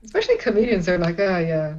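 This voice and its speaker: Young woman comic from Pakistan; casual, giggly chat; lower pitch; muffled lo-fi recording. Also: big pitch swings, fast talker, a little mellow, slight background noise. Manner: chatty, laughs often, many restarts. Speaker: stand-up comedian. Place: at home in isolation.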